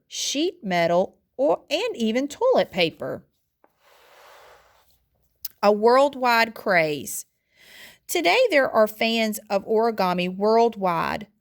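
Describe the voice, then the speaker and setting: Higher-voiced woman reading aloud like a teacher. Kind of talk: read-aloud lesson narration.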